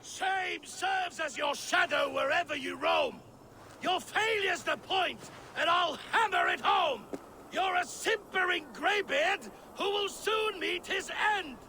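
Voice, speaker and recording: Thunder god, video game: boastful taunter, theatrical delivery, slow and strikingly high-pitched. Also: male voice, a little hiss or hum, full character voice. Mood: boastful, scornful. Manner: bombastic, declamatory, shouting. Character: boastful, belligerent thunder god trading insults in verse.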